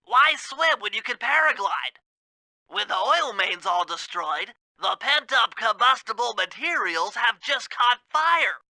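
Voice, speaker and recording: Nerdy turtle brainiac for a video game, high nasal sharp voice, wordy, super dry sound. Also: male voice, big pitch swings, measured pace, dry recording, heavily acted. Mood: instructive. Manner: precise, wordy, bookish. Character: nerdy brainy male turtle, small, earnest and fussy. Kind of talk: video game character voice acting.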